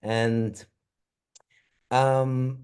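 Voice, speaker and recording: Halting, mellow-voiced man on a webinar. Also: mid-range voice, flat delivery, smooth. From Austria. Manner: hesitant, many ums and restarts. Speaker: anthropologist presenting his book. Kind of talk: webinar talk.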